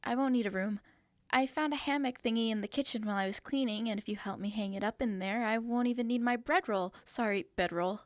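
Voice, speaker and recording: A woman's voice: a little high, a little fast, dry recording, clean studio sound, close to an everyday voice. A woman voicing a shy young winged girl who travels with her cat, speaking in a hesitant, apologetic way. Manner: hesitant, apologetic, soft-spoken. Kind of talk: fantasy audio drama acting.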